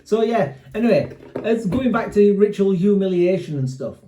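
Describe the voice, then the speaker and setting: Yorkshire man, middle-aged podcast co-host, mellow tone, voice a bit raised, echoey room. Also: high-pitched, expressive, moderate pace, slight background noise. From Sheffield, England. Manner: chatty, rambling, self-mocking. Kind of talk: casual chat.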